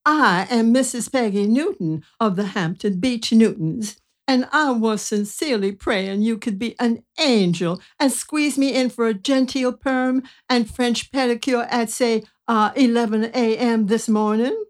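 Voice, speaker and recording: A woman's voice: on the high side, measured pace, few pauses, lightly acted. A woman playing a genteel, syrupy Southern society lady in a comic audio drama, with a Southern US accent and polite, sugary manners. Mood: sweetly genteel.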